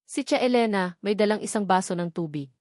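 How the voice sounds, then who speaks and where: A woman's voice: medium pitch, expressive, a little fast, darkish tone, dry recording. Filipino woman narrating a sentimental story, dry sound. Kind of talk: scripted first-person dramatic narration.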